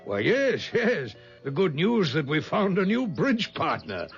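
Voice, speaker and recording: A gravelly old colonel, hearty and coaxing, his voice riding high and swooping lively through each line. The sound is muffled, an old radio drama with music under it. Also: unhurried, exaggerated voice. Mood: jovial. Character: genial, sly older male colonel. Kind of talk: 1970s radio drama acting.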